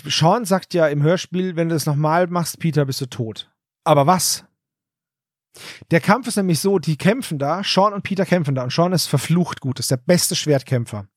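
A man's voice: a little high, moderate pace, no echo, studio quality. A man co-hosting a podcast, casually discussing an audio drama in an emphatic, opinionated, colloquial way. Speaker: podcast co-host.

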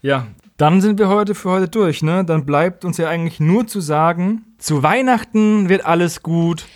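A man's voice: high-pitched, moderate pace, no echo, studio quality. A man recapping stories as a podcast co-host in a colloquial, humorous, mockingly opinionated way. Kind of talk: casual humorous episode recap.

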